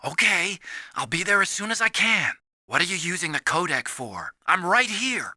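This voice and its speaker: An actor voicing a timid, soft-hearted young male scientist in a video game, earnest and anxious as he explains things. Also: sits high, big pitch swings, normal speed, no room sound, clean studio sound, heavily acted. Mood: brisk.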